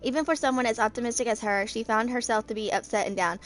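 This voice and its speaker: Young woman haltingly reading aloud. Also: a little high. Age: 20s. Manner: halting read-aloud with stumbles and misreadings. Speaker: amateur video creator reading game character lore. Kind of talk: reading story text aloud.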